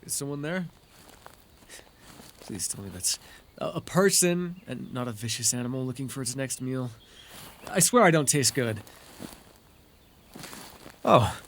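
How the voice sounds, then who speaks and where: A man playing a charming, courteous and flirtatious fae traveler in an ASMR roleplay, speaking in a gentle, playful, slightly hesitant way. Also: mid-range voice, vocal fry. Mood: nervous, wary.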